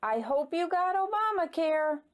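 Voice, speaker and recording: A woman voicing a cheerful, excitable magical little boy in a storybook, bouncy and eager. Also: very high voice, a little slow, voice pushed up. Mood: eager, concerned.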